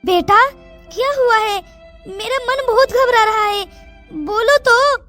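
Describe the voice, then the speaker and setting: Casting: woman as caring cartoon village mother, high voice, fretful and coaxing, music underneath. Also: slow, sharp tone, heavily acted. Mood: anxious. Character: anxious, caring village mother comforting her grown daughter. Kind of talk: Hindi cartoon moral story dialogue. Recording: music behind the voice.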